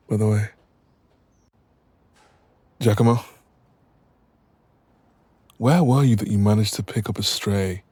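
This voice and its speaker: A man playing an apologetic, exasperated mafia boss in an audio roleplay, with a husky voice and a wry, sweary, chatty manner. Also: fairly low pitch, warm tone, no echo. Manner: wry, sweary, chatty, calls listener doll. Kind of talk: audio roleplay acting, intimate one-sided dialogue.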